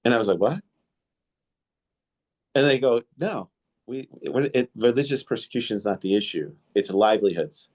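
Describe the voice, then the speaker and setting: A man's voice: fairly low pitch, expressive, normal speed, long pauses, a little mellow. A man hosting a podcast interview, whose first language was Indo-Greek, telling stories in a rambling way with many fillers and restarts. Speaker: podcast host. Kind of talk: casual interview storytelling.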